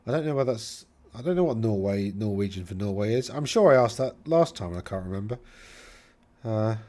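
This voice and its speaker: A man's voice: fairly low pitch, lively intonation, brisk, a little mellow. A man from England with a Middle England accent, casually talking through tournament army lists, rambling and often correcting himself. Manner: rambling, self-correcting, chatty asides. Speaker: host reviewing tournament lists. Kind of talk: casual solo commentary.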